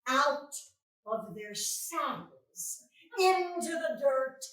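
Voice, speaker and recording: Woman doing cheeky worn-out servant in shakespeare reading, slow delivery, recorded from far off in echoey room. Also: high voice, lively intonation, a bit bright, full character voice. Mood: cheeky storytelling. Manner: declamatory, exclaiming. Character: weary, grumbling, cheeky comic servant. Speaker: actor in a Shakespeare camp staged reading. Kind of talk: theatrical staged reading of Shakespearean comedy.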